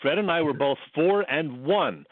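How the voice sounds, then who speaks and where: An American man co-hosting casual sports radio, talking in a measured, explanatory way. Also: fairly high pitch, lively intonation, no room sound. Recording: dry, phone-like narrowband radio sound.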